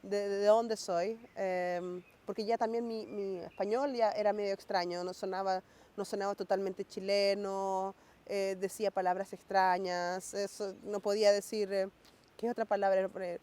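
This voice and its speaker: Mellow-voiced woman, reflective interview. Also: medium pitch, little pitch movement, moderate pace. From Norway. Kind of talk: documentary interview, reflecting on identity.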